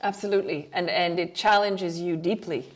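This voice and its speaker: A middle-aged woman from Canada whose first language is French, talking expressively in a relaxed interview, with frequent laughs and thoughtful restarts. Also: fairly low pitch, moderate pace. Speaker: guest. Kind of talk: casual conversational interview.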